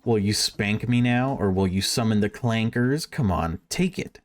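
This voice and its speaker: A man's voice: lowish voice, normal speed, soft background music, close to an everyday voice. A man voicing a brash, boozy young woman from a visual novel, teasing and cocky. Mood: cocky, goading.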